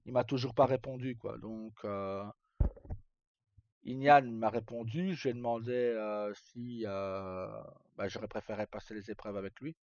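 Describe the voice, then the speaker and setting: A man's voice: lowish voice, fairly even intonation, a little slow, a little mellow, no echo. A middle-aged man talks casually on a livestream in an informal, rambling and hesitant way. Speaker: live streamer. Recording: dull, lo-fi sound.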